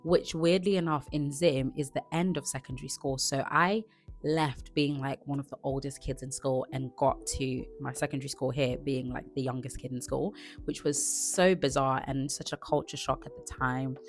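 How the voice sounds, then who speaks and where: A woman of twenty-five from Zimbabwe, chatting casually to camera as a vlogger, relaxed and chatty. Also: extremely low pitch, moderate pace, faint music behind. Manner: chatty and relaxed, with frequent "like" and restarts.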